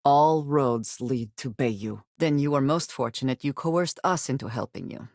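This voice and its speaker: An actress voicing a guarded, solemn spacefaring companion in a video game, speaking in a measured, formal and blunt way. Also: female voice, rumbling low, darkish tone, dry recording, studio quality. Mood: cool, blunt. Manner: measured, formal phrasing with few contractions. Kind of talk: scripted game character voice lines.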